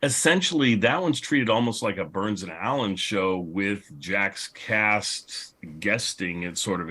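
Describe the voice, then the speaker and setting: A man's voice: sits low, a bit bright. A man moderating a casual panel discussion, explaining things in a conversational, rambling way. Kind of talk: casual panel discussion about old radio.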